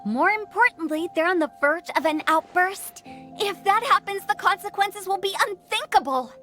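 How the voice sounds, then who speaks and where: A woman's voice: extremely high pitch, lively intonation, moderate pace, pushed and bright, soft background music, full character voice. A woman voicing a mischievous young funeral parlor director with a teasing, theatrical manner. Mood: pointed. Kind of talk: game voice acting.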